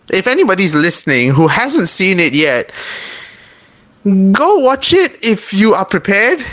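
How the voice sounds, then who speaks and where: A man co-hosting a podcast in casual chat, animated and laughing often. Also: high-pitched. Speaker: podcast co-host.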